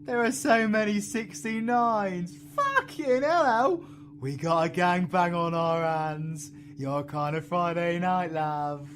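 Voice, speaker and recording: A man's voice: high-pitched, very animated, slow pace, slight background noise, heavily acted. Man playing a cheeky, theatrical British supervillain. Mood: excited, crude. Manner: theatrical, slangy, swinging from soft to shouting. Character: cheeky, flamboyant young male supervillain, affectionate and chaotic. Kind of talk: audio roleplay acting.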